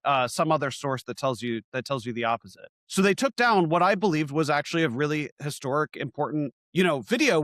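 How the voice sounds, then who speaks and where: A man with a fairly high voice tells a long, winding story in a relaxed interview. He becomes animated whenever he quotes someone else. The sound is close and dry, with no room around it. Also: dry recording. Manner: long-winded, animated when quoting others. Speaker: independent video journalist, guest.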